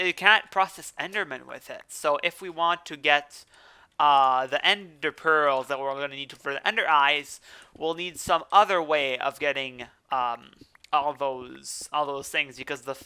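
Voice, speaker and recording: Bright-voiced French-accented man, chatty gamer. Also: on the high side, clean tone. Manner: rambling, self-correcting, chatty. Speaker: let's play host. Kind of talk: casual podcast chat while gaming.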